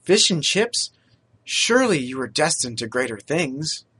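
A man doing a polite, stammering young gentleman. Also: on the high side, sing-song, measured pace, breathy edge, heavily acted. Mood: friendly. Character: earnest, apologetic young gentleman, the son.

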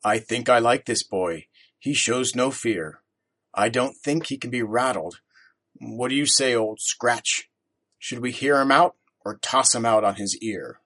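A man puts on a deep-voiced, heavy-set old businessman with a jovial, teasing manner. He speaks low and slowly. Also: a bit bright. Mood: amused.

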